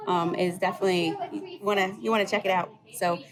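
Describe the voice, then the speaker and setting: A woman's voice: mid-range voice, steady tone, fast, crowd noise. A woman hosting a casual vlog, chatting, asking questions and echoing the answers she gets. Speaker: vlog host, interviewing child. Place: aboard a cruise ship. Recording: clearly noisy ship surroundings.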